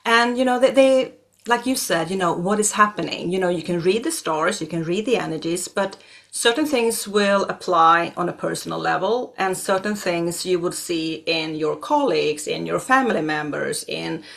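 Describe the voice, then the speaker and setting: A woman's voice: on the low side, moderate pace, vocal fry, a bit bright. A middle-aged Swedish woman with a Swedish accent, a native Swedish speaker, speaking as an astrologer guest in an interview, hesitant with many fillers and restarts. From Sweden. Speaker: astrologer and regression therapist guest. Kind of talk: conversational interview.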